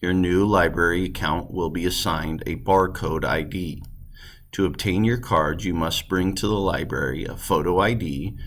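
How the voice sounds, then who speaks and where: Male classroom teacher, extremely deep and gravelly, casual explainer and reader. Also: moderate pace, fairly bright tone. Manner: informal, explanatory. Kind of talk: classroom read-aloud instruction.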